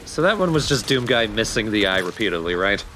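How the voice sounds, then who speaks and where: A man's voice: on the low side, sing-song, fairly quick. A man joining in with casual remarks as a co-commentator on a video game. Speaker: let's play co-commentator. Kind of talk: casual chat over gameplay.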